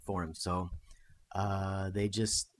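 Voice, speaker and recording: A man's voice: low voice, level tone, takes it slowly. A man telling history stories in a casual, conversational way as a podcast guest. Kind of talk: casual chat, storytelling about history.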